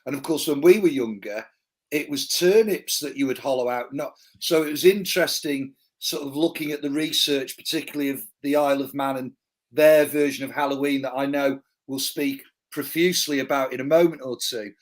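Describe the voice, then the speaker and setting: A man's voice: medium pitch, fairly quick. A man from North Wales hosting a live podcast, talking in a reflective, storytelling way. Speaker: podcast host.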